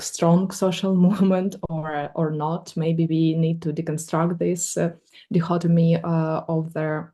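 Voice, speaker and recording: A woman with a slightly low, mellow voice introduces panelists and poses questions. She speaks with frequent fillers and pauses while she moderates. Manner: hesitant, many fillers.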